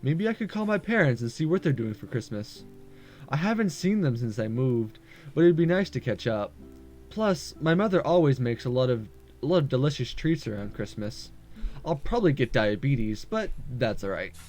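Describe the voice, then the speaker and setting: A man's voice: medium pitch, big pitch swings, normal speed, a little mellow, lightly acted. Man reading as a lonely, reserved male student. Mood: wistful. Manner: introspective first-person narration, polite and subdued. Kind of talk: visual novel read-aloud. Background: music.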